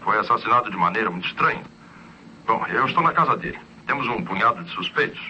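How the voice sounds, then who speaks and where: A man's voice: on the low side, lively intonation, fast, pushed and bright, slight background noise. An actor playing an earnest male police commissioner in an old radio drama. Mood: urgent, grave. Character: earnest police commissioner reporting a strange murder. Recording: dull old radio recording.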